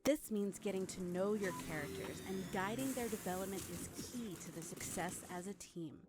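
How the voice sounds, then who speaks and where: A woman's voice: medium pitch, moderate pace, over music. A woman narrating a scripted promotional video for a game in the manner of a trailer voice-over.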